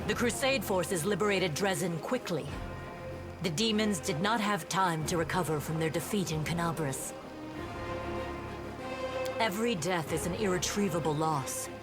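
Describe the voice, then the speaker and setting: An actress playing an earnest, dutiful female paladin officer in a fantasy game, speaking in a formal, measured reporting manner. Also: sits low, lightly acted. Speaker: game character reporting to a commander. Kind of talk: voice-acted fantasy game dialogue. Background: music.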